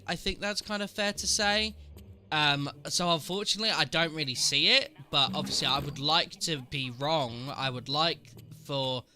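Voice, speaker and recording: Bright-voiced male streamer with a cold. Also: fairly high pitch. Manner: chatty, answers trivia aloud, jokes with chat. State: has a cold, sniffly. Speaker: game streamer. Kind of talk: casual live-stream commentary.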